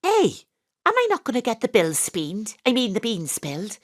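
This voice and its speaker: A woman's voice: fairly high pitch, wildly swooping pitch, normal speed, no echo, clean studio sound. A woman playing a brash, sweary private detective who narrates her own comic audio drama in a breezy, irreverent way. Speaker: narrator and lead character. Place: home studio.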